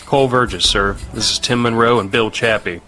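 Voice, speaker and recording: A man's voice: medium pitch, fairly even intonation, brisk, sharp tone, close to an everyday voice. A man acting as a weary, understated, terse ex-army major in an audio drama. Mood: guarded. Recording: music bed behind.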